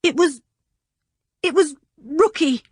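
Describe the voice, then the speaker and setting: A woman reading a panicky, frightened young boy in an audiobook, with shrill, breathless pleading. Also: sing-song, normal speed, a bit bright, no room sound, studio quality.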